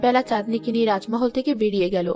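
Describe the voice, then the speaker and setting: A female narrator tells a scripted fairy tale in a storytelling style. Music plays clearly behind the voice. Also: a little high, rapid-fire.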